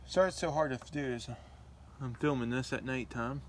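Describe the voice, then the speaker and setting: A man's voice: medium pitch, normal speed. Casual man narrating over faint noise. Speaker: radio hobbyist presenter. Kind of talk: casual hands-on demonstration talk. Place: indoors at night beside radio and laptop. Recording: home video with background noise.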